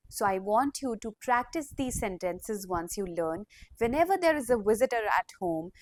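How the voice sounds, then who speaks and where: Woman teacher dictating slowly. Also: mid-range voice, slight background noise. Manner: slow, deliberate dictation of model sentences. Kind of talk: classroom language lesson.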